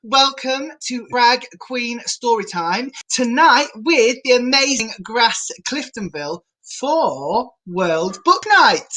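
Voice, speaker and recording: Slow-paced drag queen storytime host. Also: mid-range voice, animated, pushed and bright, low-quality recording. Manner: theatrical, addressing children and adults. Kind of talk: children's storytime presenting.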